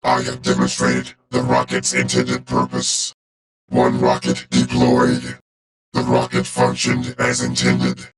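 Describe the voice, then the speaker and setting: A man voicing a cold, loyal robot warrior for a video game, speaking in a clipped, formal, deadpan way through a robotic filter. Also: mid-range voice, slow, creaky, exaggerated voice. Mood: cold, alert. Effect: robotic vocoder filter.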